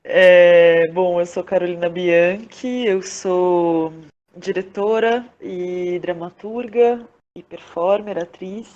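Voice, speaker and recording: A Brazilian woman from Porto Alegre speaking reflectively as an interview guest, halting and searching for her words. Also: fairly low pitch, steady tone, darkish tone, highs cut off. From Porto Alegre, southern Brazil. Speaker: podcast guest, theatre director, playwright and actress.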